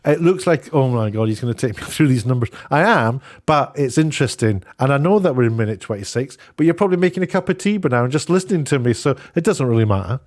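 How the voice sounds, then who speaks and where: A man's voice: medium pitch, animated, a little mellow. A man giving unscripted opinion commentary as an online host, rambling and often correcting himself as he goes. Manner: halting, rambling, self-correcting.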